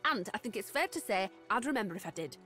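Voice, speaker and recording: A woman's voice: mid-range voice, sing-song, fast talker, pushed and bright, faint music behind. A woman playing a vigilant, dutiful paladin guard in a fantasy video game, speaking in a clipped, formal way.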